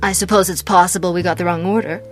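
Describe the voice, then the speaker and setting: An actress playing a cool, evasive femme fatale hotel guest in a noir radio drama, guarded in manner. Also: female voice, fairly low pitch, fairly even intonation, quick pace, soft background music, close to an everyday voice.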